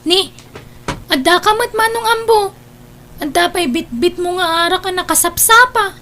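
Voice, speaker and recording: A woman's voice: very high-pitched, normal speed, bright, forceful tone, exaggerated voice. A woman playing a teasing younger female neighbour with an Ilocano accent in a comedy radio drama, on a noisy recording.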